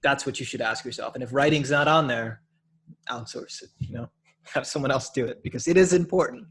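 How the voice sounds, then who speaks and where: A young man from Minneapolis talking as a podcast guest, casual and animated, blunt, with slang. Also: mid-range voice, fairly quick, husky. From Minneapolis, USA. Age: young adult. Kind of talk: casual conversation.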